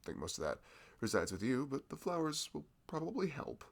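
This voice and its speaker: A man's voice: medium pitch, big pitch swings, a little fast, vocal fry. A young man doing a chatty audio roleplay as a friendly shopkeeper, laughing often between lines. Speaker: ASMR roleplay creator.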